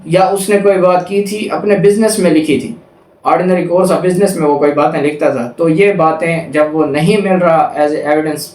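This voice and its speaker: A man's voice: on the high side, darkish tone. A man with a Pakistani accent giving a law lecture, reading formal text aloud and explaining it step by step with examples. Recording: echoey, roomy sound.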